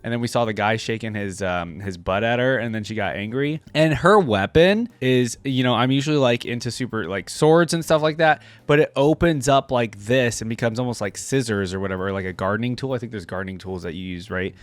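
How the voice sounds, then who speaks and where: A man giving casual, enthusiastic reaction commentary, speaking informally and haltingly. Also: mid-range voice, no echo. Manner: halting, informal, enthusiastic about what he watches. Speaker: reaction video host. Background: faint music from the video he watches.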